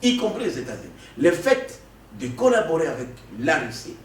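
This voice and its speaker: A man from the Congo giving an animated political interview, emphatic and prone to stammering restarts. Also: a little high, fairly quick. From Democratic Republic of the Congo. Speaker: political guest. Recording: echoey room, distant mic, faint noise.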